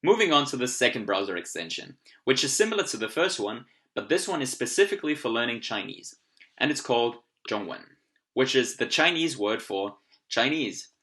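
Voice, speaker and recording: Bright-voiced Austrian man explaining software. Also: medium pitch, sing-song, fairly quick. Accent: Austrian German. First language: German. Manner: upbeat, explanatory, occasional fillers. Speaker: language learning tutorial host. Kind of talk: screen-recorded software tutorial.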